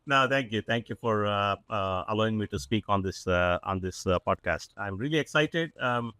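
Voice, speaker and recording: A man's voice: lowish voice, moderate pace, bright, forceful tone, no echo. A man in his forties from South India with a South Indian accent, a company CEO talking earnestly as a podcast guest, with many fillers and restarts. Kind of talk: casual interview talk.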